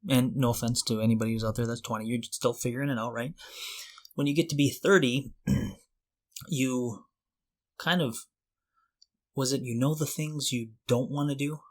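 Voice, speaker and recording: A man's voice: medium pitch, fairly even intonation, normal speed, pauses often. A man in his forties co-hosting a podcast in a casual, conversational way, thinking aloud in loose, hesitant phrases. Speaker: podcast co-host.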